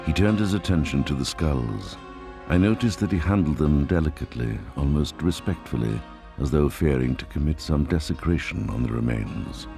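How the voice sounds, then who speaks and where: A man giving a grave, measured, dramatic reading of a crime novel. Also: rumbling low, animated, gravelly. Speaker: audiobook narrator. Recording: music behind the voice. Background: music.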